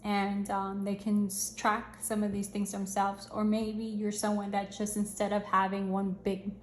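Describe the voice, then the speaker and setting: A woman hosting a casual planner review, chatty and upbeat with slangy asides, her voice going a little hoarse. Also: mid-range voice, completely flat delivery, unhurried, roomy sound, a little hiss or hum. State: losing her voice, slightly hoarse. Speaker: channel host reviewing planners. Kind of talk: casual product review walkthrough.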